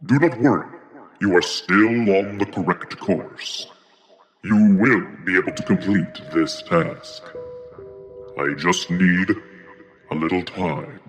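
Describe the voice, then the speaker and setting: Man playing a deep, slow otherworldly guide. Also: soft background music. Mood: solemn reassurance. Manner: measured, formal, reassuring. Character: calm, mysterious otherworldly male guide, solemn and all-knowing.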